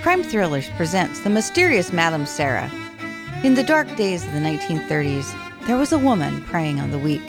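A woman's voice: low voice, big pitch swings, moderate pace. A woman narrating and announcing a radio drama in a dramatic, scripted style. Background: loud violin music.

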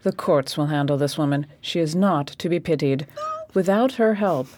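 A shrewd lady detective with a lowish voice, played by a woman in a period radio drama. She is formal, self-assured and unsentimental, speaking in stern commands. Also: normal speed, a little mellow, slight background noise, lightly acted. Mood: commanding.

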